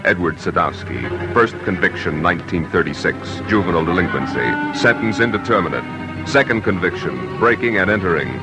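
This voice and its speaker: A man's voice: sits low, animated, a little fast. An actor playing a dry, official policeman in a 1940s-style radio crime drama. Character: dry official policeman reading a criminal record. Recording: old radio drama over loud music.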